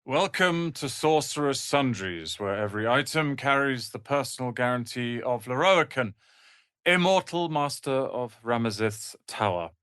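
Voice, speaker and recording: Proud young male wizard, pompous, theatrical, articulate, fantasy game character, mid-pitched, dry close recording. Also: animated, a little slow, fairly bright tone, clean tone, dry recording. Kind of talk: fantasy video game voice acting.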